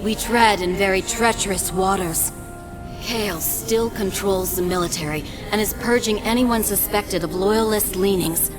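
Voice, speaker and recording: A woman's voice: medium pitch, close to an everyday voice, low-quality recording. Woman playing a solemn noble warrior commander, music behind. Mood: grave warning. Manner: formal, measured. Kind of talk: video game cutscene acting.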